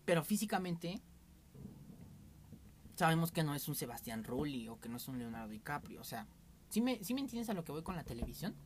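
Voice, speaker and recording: A man's voice: fairly high pitch, very animated, a little fast, pauses often. Mexican podcast host, young man, candid rambling storyteller, faint room noise. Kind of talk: casual podcast chat.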